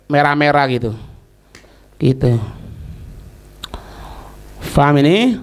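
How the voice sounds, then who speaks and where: High-pitched Indonesian male religious teacher. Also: a bit bright. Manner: didactic, repeats phrases and checks understanding. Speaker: Islamic teacher. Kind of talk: religious lesson reading and explaining an Arabic text line by line.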